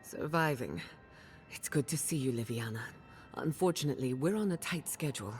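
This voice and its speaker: A woman's voice: very deep voice, expressive, brisk, faint music behind. An actress playing a determined, independent rebel woman in a fantasy video game cutscene. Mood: calm, independent.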